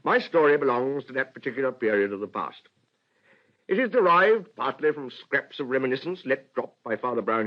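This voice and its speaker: An actor playing a genteel storyteller, narrating a radio drama with a British accent in a formal, deliberate, literary manner. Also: male voice, mid-range voice, close to an everyday voice. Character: genteel, measured storyteller recalling an old friend. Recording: dull, muffled radio recording.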